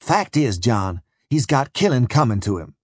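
A man voicing a coolly menacing, sardonic American frontier tough with a Southern drawl and a low growl, in an audiobook story. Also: big pitch swings, normal speed, breathy edge, no echo, studio quality. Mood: cool authority.

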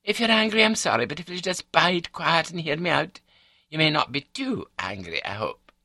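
A man's voice: a little high, expressive, moderate pace, pushed and bright, no room sound, studio quality, voice pushed up, heavily acted. A man voicing a prim, scatterbrained elderly Scottish wife in an audiobook, prattling on in an unruffled, matter-of-fact way with a Scottish accent. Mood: placid, confiding. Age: older.

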